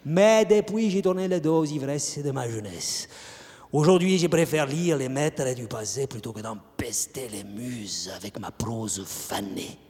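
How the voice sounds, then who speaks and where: A man's voice: mid-range voice, lively intonation, a little slow, a little mellow, lightly acted. A man playing a punning eccentric concierge poet. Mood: mocking. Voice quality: drawling, playful, many whispered asides. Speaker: interrogated suspect. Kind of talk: theatrical monologue acting. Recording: long reverb with faint music.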